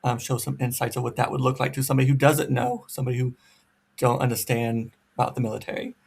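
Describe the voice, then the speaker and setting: A man conducting an oral history interview, asking careful, gentle questions. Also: medium pitch, quick pace, creaky, slightly rough.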